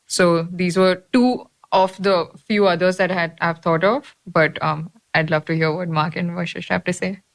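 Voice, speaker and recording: Young woman, somewhat low voice, thoughtful, point-by-point, casual podcast talk. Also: steady tone, normal speed, hardly any pauses. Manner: thoughtful, lays out her ideas point by point. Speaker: MBA student podcast co-host.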